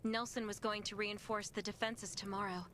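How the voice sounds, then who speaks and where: An actress plays an earnest game character who looks after a settlement. Her voice sits at a medium pitch, responsible and concerned, with a faint rumbling noise behind it. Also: fairly even intonation, normal speed, a bit bright, natural voice. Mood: worried resolve. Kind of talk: video game dialogue.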